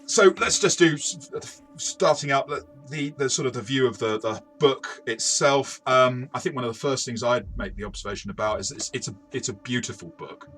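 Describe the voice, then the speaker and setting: A man's voice: mid-range voice, moderate pace, fairly bright tone, soft background music. A man co-hosting a casual role-playing game review, talking in a hesitant way with many false starts.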